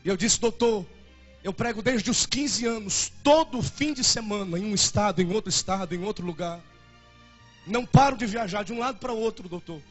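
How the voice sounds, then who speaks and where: A man's voice: high-pitched, normal speed, soft background music. A young man in his twenties with a Brazilian Portuguese accent, preaching a fervent and emotional sermon as a pastor. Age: 20s.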